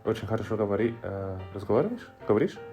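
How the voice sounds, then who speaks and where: A man from Colombia, chatting casually and haltingly, switching between basic Russian and English. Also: fairly low pitch, sing-song, dark tone, highs cut off, soft background music. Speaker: vlogger's husband. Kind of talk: casual chat.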